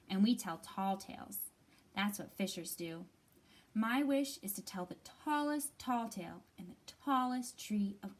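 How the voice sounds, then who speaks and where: A woman reading as a proud, tree-climbing fisher animal from a picture book, with playful, emphatic insistence. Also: on the high side.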